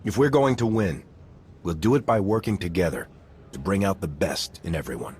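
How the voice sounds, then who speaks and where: An actor playing a steadfast veteran military commander in a video game, speaking in an earnest, measured way. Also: male voice, low-pitched, expressive, darkish tone, slight background noise. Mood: inspiring. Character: steadfast gruff male military commander, veteran war hero. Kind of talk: video game cutscene acting.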